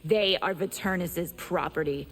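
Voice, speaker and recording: A woman's voice: lowish voice, measured pace, husky. A woman playing a cold, menacing, bloodthirsty vampire creature in a fantasy video game. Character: menacing, hungry female harpy-like vampire. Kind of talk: video game dramatic acting. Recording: long hall reverb.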